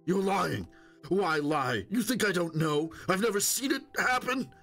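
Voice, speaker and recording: A man voicing a wounded, wheedling old stalker in an audiobook, boastful and desperate, with a hoarse, strained, pleading voice. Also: high voice, animated, unhurried, fairly bright tone, soft background music, pitched above the performer's own voice, exaggerated voice. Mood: moaning, fearful. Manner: pleading, moaning, insistent.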